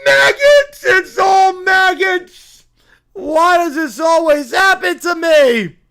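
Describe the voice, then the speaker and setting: A performer voicing a bratty, bossy cartoon child who makes indignant, melodramatic shouted declarations. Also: extremely high pitch, expressive, slow, voice pushed up, full character voice. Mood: dramatic complaining.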